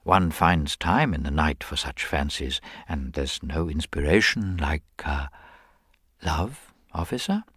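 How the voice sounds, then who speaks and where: Man playing a low, mild-mannered shrewd detective. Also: expressive, fairly bright tone, breathy edge, no room sound, clean studio sound, exaggerated voice. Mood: gently probing. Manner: polite, murmuring, deliberate with small hesitations. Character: mild-mannered, shrewd, hesitant male government investigator. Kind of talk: dramatised audiobook detective story.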